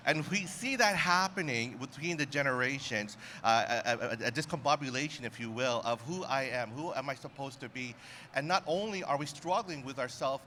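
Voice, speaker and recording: A man's voice: medium pitch, sharp tone, a little hiss or hum. A man from Canada, a ministry leader, answering on a panel in an articulate, reflective way.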